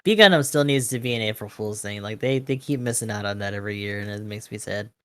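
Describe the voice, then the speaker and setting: A man's voice: fairly low pitch, fast, pushed and bright, clear, resonant tone, no echo. Sweary man in dry, casual banter. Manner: sweary, informal. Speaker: podcast panelist. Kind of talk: casual gaming podcast banter.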